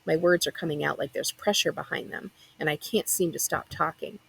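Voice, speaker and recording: Creaky, husky woman reading aloud. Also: low-pitched, a little fast, fairly bright tone, poor audio. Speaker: librarian reading a book aloud. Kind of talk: expressive first-person novel narration.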